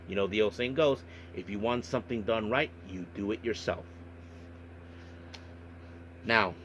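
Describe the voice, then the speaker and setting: A man hosting a podcast monologue, recapping and commenting on events in a halting way with repeated filler phrases. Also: on the low side, fairly bright tone, slight background noise, rough recording. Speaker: wrestling podcast host.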